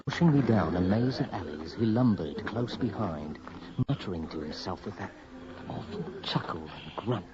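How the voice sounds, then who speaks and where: An actor playing the narrator of a radio horror drama, telling his eerie story in a measured, ominous way. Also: low-pitched, lively intonation. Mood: ominous, haunted. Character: man narrator recalling a sinister, eerie encounter. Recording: muffled, noisy old radio broadcast recording.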